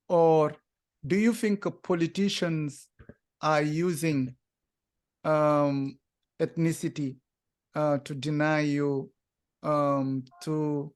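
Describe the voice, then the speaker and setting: A man interviewing a guest, asking slow, deliberate questions with many fillers. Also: a little high, smooth.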